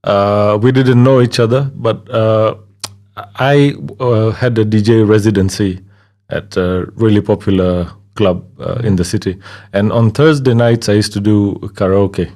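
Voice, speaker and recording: Low-voiced man from Kenya, relaxed. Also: measured pace. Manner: relaxed, hesitant with many fillers, laughs easily. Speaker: guest, DJ. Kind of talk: casual interview conversation.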